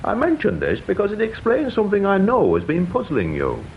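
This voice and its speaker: A long-winded police sergeant in a radio play, formal and eager to defer to his superior. His pitch swings widely as he explains things pedantically. The recording is slightly dull with faint noise. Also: wildly swooping pitch, a little fast, gravelly, exaggerated voice. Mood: deferential, pleased. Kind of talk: radio drama acting.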